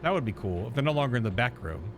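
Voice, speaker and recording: A man's voice: mid-range voice, sing-song, rapid-fire, faint music behind. A man giving casual, informal reaction commentary as a game streamer, joking and swearing freely.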